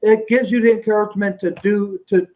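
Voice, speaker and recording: A man who is a guest on a live stream, talking casually in a halting way, with a stutter, long pauses and repeated words. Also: high voice, steady tone, highs cut off.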